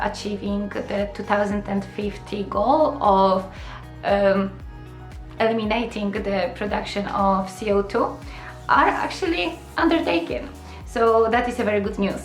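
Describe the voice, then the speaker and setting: A woman's voice: mid-range voice, measured pace, soft background music. Young woman vlogging in a roomy space. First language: Polish. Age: young adult. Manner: earnest, fillers, addresses viewers with questions. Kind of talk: casual explainer vlog to camera.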